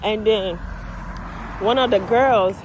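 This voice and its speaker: A woman from Nigeria with a Nigerian accent, chatting to her vlog camera while walking outdoors, animated and outspoken, swearing freely. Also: mid-range voice, takes it slowly. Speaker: vlogger, medical assistant. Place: outdoors on a residential street. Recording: street with passing traffic noise. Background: cars and street ambience.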